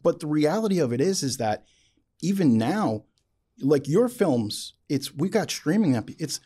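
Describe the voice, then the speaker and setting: A man's voice: a little high, big pitch swings, a little slow, mellow, husky. A man hosting a casual interview, talking enthusiastically and repeating phrases for emphasis. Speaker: podcast host.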